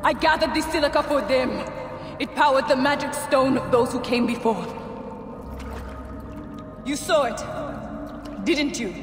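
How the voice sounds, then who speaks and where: A woman voicing a grieving, vengeful mother who guards her daughter's tomb, with fierce, shrill outbursts, in an echoing underground tomb. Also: measured pace, pauses often, music in the background. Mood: despairing. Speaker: tomb ritualist. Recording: echoey cavernous hall with noise.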